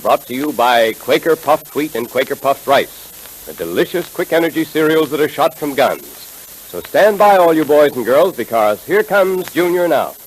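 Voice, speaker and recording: A man announcing in 1930s radio style, making an emphatic, enthusiastic scripted pitch to children. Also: expressive, moderate pace, poor audio. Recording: hissy 1930s radio recording.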